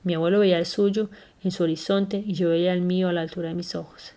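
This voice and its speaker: Woman reading a memoir aloud, low voice. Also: little pitch movement, mellow. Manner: unhurried, careful reading with small slips and self-corrections. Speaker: reader.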